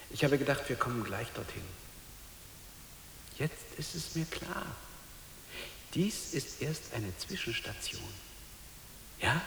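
Deep gravelly troubled father. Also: a bit bright, exaggerated voice. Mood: clinging to hope. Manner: halting, repeats himself, pleading. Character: troubled, faithful father clinging to hope. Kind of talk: radio drama acting. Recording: hissy, echoey reverberant hall.

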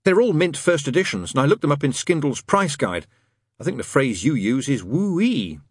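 A fussy, polite angel, played by a man reading a storybook, lilting widely in pitch with a slightly husky tone. He is mild and a touch stiff, hesitating courteously, recorded close and dry. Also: sing-song, moderate pace, no echo. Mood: pleased. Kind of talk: dramatised audiobook reading.

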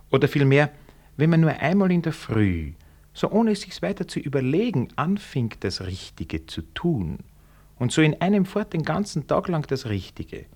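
An actor playing a worldly, genially cynical senior civil servant with an Austrian accent and a dry, ironic manner, in a radio play. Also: male voice, medium pitch. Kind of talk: German radio play acting.

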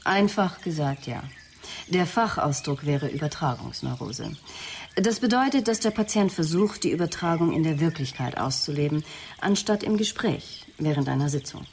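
A woman's voice: sits low, lively intonation, normal speed, a little mellow, a little hiss or hum. An actress playing a guarded, precise female psychotherapist under questioning in a radio crime drama, evasive but explanatory. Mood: uneasy, explaining. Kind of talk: German radio drama dialogue.

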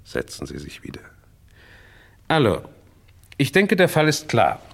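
An actor playing a shrewd, courteous and pompous detective in a radio crime drama, sprinkling French phrases into deliberate speech. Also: male voice, mid-range voice, wildly swooping pitch, gravelly, heavily acted. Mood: triumphant, measured. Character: shrewd, theatrical Francophone male detective, self-assured and courteous.

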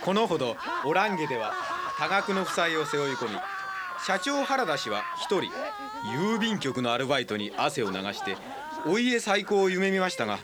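A man's voice: a little high, sing-song, normal speed, over a noisy crowd. A man announcer reading a mock formal company notice with barely suppressed amusement. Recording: very noisy amateur tape.

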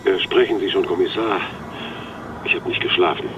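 Guy acting tired grumbly cop, really low voice, muffled phone line with some hiss. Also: fairly even intonation, normal speed, close to an everyday voice. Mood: tired, sceptical. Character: weary grumbling male police officer on the phone. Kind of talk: radio drama acting. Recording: dull, faintly noisy phone line.

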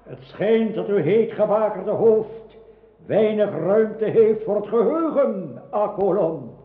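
A reproving courtier speaks slowly in a high, animated voice. His delivery is archaic and stern, on a tinny radio play recording. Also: roomy sound. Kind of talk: radio drama acting.

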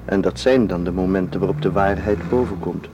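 An actor in a Dutch radio play, playing a devoted, calmly persuasive man who keeps secrets. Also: low-pitched, fairly quick, muffled recording, background noise. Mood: earnest. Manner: calm, gently insistent.

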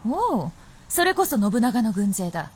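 An actress playing a playful, confident, centuries-old fox princess in a radio drama, with teasing, old-fashioned haughty speech. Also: female voice, fairly high pitch, big pitch swings, measured pace, slightly rough, exaggerated voice. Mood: playful, boasting. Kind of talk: Japanese radio drama acting.

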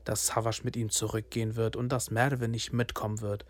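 A man's voice: on the low side, little pitch movement, normal speed, lightly acted. Man acting a bitter young street poet, rhythmic delivery. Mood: bleak, subdued. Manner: rhythmic short phrases with insistent repetition. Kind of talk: literary radio drama monologue, spoken-word delivery.

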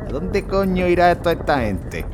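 A man plays a grumbling fruit seller at the wheel in an audio drama. He talks coarsely with a lively, swinging pitch, amid clear roadside vehicle noise. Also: normal speed. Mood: incredulous. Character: gruff, grumbling fruit truck driver. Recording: noisy roadside with vehicle sounds.

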